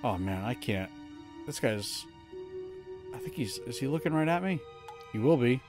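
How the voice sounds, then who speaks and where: A man in his thirties talks to himself through a live game stream, poking fun at his own play. His voice rises and falls a lot as he goes. Game music plays clearly under him in a small room at home. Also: mid-range voice, animated, moderate pace. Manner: self-mocking, mutters to himself while playing. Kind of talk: casual live gameplay commentary.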